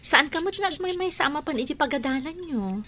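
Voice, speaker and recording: A woman playing a loving, encouraging mother in a radio drama, gentle and reassuring. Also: sits high, expressive, quick pace, slight background noise. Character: loving, encouraging mother who teaches school.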